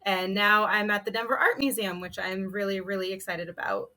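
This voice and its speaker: A woman's voice: medium pitch, steady tone, brisk, sharp tone. A woman from New Mexico introducing herself informally and chattily on a panel. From Taos, New Mexico, United States. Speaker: panelist, teaching artist. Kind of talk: casual self-introduction on a panel.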